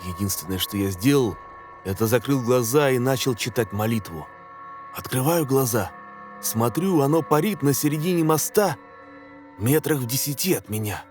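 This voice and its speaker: A man reading a scary story as a dramatic scripted narration, in a measured, suspenseful way. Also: medium pitch, animated, darkish tone. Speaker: narrator of horror stories. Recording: music under the voice. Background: background music.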